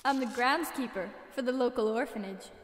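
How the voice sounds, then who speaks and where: A woman's voice: a little high, brisk, close to an everyday voice. A woman playing an easygoing, lovestruck young Californian surfer in an amateur radio comedy. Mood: breezy. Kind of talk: amateur 1930s-style radio comedy acting. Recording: echoey reverberant hall.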